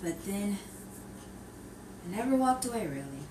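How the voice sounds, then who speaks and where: A tormented lost lover in a radio melodrama. Her mid-pitched voice broods deliberately, far from the mic in an echoing, noisy space. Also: sing-song. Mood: anguished, regretful. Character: tormented, regretful woman who lost her love. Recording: roomy, distant, noisy live stage recording.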